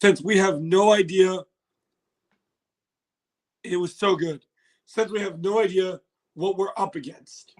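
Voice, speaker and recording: A hoarse man hosting a casual livestream reaction, excitable and laughing, swearing now and then, recorded on a phone. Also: sits high, slow, pauses often, poor audio. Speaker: livestream host.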